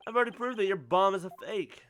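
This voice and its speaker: A woman voicing a confident, composed defense attorney in a video game, accusing in an assertive, theatrical, deliberate way. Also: mid-range voice, a bit bright, natural voice. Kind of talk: dramatic video game courtroom reading.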